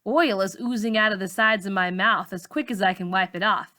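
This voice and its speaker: Narrator: woman, teacher-style read-aloud of a novel, expressive, with a crisp bright edge and medium pitch. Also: brisk.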